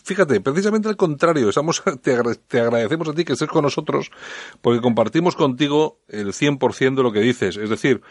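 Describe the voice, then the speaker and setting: A man's voice: mid-range voice, animated, brisk, no echo. A man in his fifties from Bilbao hosting a talk radio discussion, rambling and correcting himself as he goes. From Bilbao, Spain. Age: 50s. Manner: rambling, self-correcting, laughs easily. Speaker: host.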